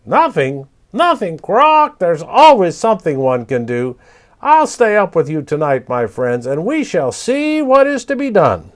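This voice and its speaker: A man voicing a cheeky, mischievous male parrot with a shrill, squawking voice and a teasing, bossy way of talking. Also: big pitch swings, a little slow.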